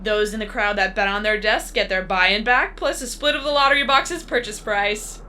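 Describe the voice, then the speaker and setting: A woman's voice: mid-range voice, moderate pace, bright, forceful tone, a little hiss or hum, natural voice. A woman playing a disembodied, coolly menacing female announcer of a deadly arena game in an audio drama, composed and instructive. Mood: condescending, detached. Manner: composed, instructive, matter-of-fact about death. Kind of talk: scripted audio drama acting.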